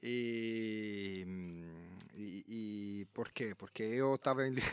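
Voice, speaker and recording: Halting man telling a funny anecdote. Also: on the low side, clean tone, no echo. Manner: halting, restarts, laughs as he tells it. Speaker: guest. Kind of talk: casual conversation, anecdote.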